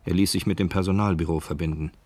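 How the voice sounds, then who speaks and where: A man's voice: low voice, steady tone, fast talker, heavy background noise, natural voice. A man narrating a crime story in a scripted radio drama. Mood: measured storytelling.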